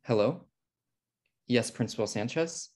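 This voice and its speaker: A man's voice: medium pitch, animated, unhurried, a little mellow, natural voice. An American man playing a fussy, controlling twin brother in a sitcom table read. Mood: earnest. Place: indoor room, on a video call. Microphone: video call microphone.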